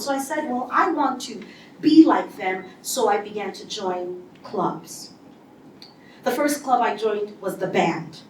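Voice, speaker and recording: High-voiced woman speaking in an echoey hall. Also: far from the mic, a little hiss or hum. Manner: animated storytelling with acted-out quotes. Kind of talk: motivational speech. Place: large hall before an audience.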